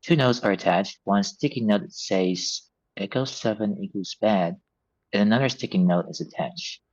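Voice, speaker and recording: A man's voice: lowish voice, moderate pace. A man reading stage directions as scripted narration at a table read, on a slightly muffled recording.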